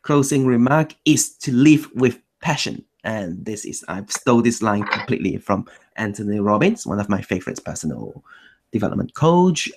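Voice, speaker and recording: A man hosting an online language meetup in a loose chat, restarting his sentences often. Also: medium pitch. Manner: loose, self-correcting, many restarts. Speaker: meetup host. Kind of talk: casual chat.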